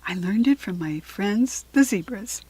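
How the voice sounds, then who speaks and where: A woman plays a small American-accented zebra fairy from a children's storybook. She is kindly, earnest and eager, with a breathy voice in a dry, close recording. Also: medium pitch, lively intonation, unhurried, warm tone, no room sound, rough recording. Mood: proud, friendly.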